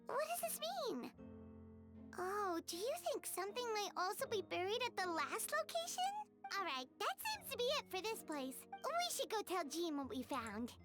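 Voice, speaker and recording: A woman voicing a small, eager, loyal fairy companion in a video game, with a squeaky childlike voice and an exclaiming, wondering manner. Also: sing-song, moderate pace, loud music behind the voice. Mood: curious. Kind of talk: animated video game acting.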